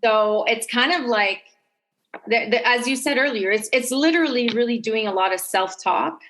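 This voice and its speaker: A woman's voice: fairly high pitch, normal speed, a bit bright, a little hiss or hum. A woman speaking conversationally as a podcast guest, giving advice in an explanatory way and voicing self-talk as she goes. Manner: explanatory, voices her own self-talk.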